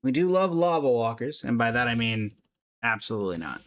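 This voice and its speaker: A man's voice: medium pitch, lively intonation, fairly quick, slight background noise. A man streaming a video game, giving casual live commentary, greeting viewers and making playful asides to himself and the characters.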